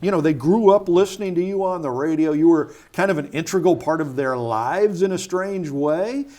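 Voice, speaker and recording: A man's voice: a little high, moderate pace, warm tone. A man hosting a local TV community interview show, friendly and chatty. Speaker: TV show host. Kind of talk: casual interview chat.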